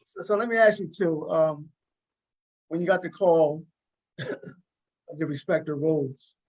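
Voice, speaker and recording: A man hosting a conversational interview, speaking haltingly and repeating words as he frames his questions. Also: a little high, a little mellow. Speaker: host and interviewer.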